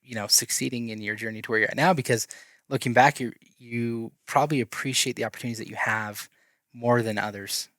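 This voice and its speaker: A man's voice: medium pitch, fairly even intonation, a little fast, a bit bright, no room sound. A man from Idaho hosting a podcast, talking in an upbeat, agreeable way. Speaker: podcast host. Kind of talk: casual interview.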